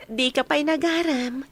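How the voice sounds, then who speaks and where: A woman's voice: high voice, full character voice. A woman playing a sweet, adoring young bride-to-be in a sentimental audio drama. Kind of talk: radio-style audio drama acting.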